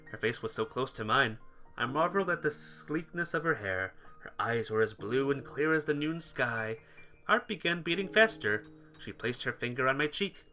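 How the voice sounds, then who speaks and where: A man's voice: medium pitch, pushed and bright. A man reading a visual novel aloud for a playthrough, narrating and voicing the hero's lines. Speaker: let's-play narrator.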